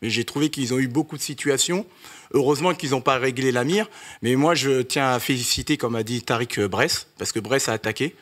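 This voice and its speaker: A man on a fan panel giving measured, analytical football commentary. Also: medium pitch, a bit bright. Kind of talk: football analysis in casual chat.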